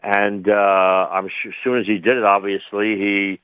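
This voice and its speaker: Phone-in expert: older male, fairly low register, thin telephone sound, halting and reflective, repeats himself for emphasis. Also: little pitch movement, smooth, no room sound. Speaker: retired air traffic controller, interview guest. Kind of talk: spontaneous radio interview commentary. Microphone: phone call to a radio show. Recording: tinny, band-limited phone line.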